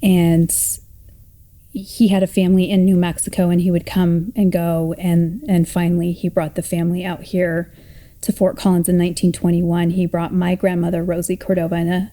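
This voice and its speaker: A woman in her thirties from Colorado tells her personal story in a reflective, unhurried way. Her voice sits a little low and sounds mellow and soft. She pauses often and restarts her thoughts as she speaks. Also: flat delivery. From Colorado, United States. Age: 30s. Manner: reflective, halting, with many fillers and restarts. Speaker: oral history storyteller.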